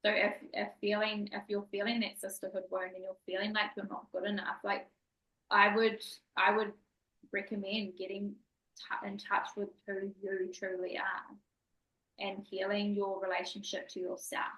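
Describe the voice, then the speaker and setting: Woman, bright tone, earnest, emphatic, podcast host, echoey room. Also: mid-range voice, flat delivery, moderate pace. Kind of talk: casual interview chat.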